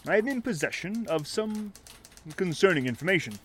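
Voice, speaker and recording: A courtly, quaintly formal shantytown mayor in 1930s radio drama. His fairly high voice moves up and down in flowery, polite phrases. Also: lively intonation, brisk, fairly bright tone, slight background noise. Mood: courteous, grave.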